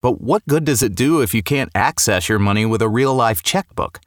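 A man's voice: mid-range voice, lively intonation, moderate pace, no echo, studio quality. A man reading a scripted commercial in a friendly, persuasive way.

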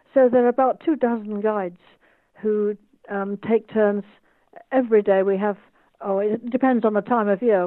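A woman's voice: medium pitch, dry recording. A woman in her eighties from the United Kingdom, an author and tour guide speaking enthusiastically in an interview over a video call. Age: 80s. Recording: tinny phone-like call line.

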